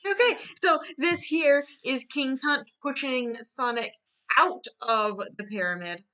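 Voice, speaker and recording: Bright-voiced woman joking through a story. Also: high-pitched, unhurried. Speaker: illustrator presenting her drawings. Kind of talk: casual joking storyboard walkthrough.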